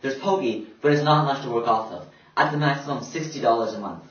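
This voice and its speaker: A man's voice: medium pitch, brisk, lightly acted. A man playing a serious 1930s radio news co-anchor, reading scripted reports. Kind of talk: scripted news broadcast acting. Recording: echoey, dull, distant amateur recording.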